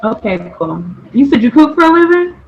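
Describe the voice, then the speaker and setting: A woman hosting a casual podcast chat, laid-back and quick to laugh. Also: high-pitched, very animated, dull audio. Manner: laid-back, laughs easily, says y'all. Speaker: podcast host.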